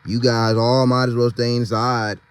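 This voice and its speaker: A man's voice: medium pitch, level tone, moderate pace, clean tone, no echo, close to an everyday voice. A man voicing a practical, helpful male bus driver in a children's storybook, plain-spoken and directing others. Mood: matter-of-fact.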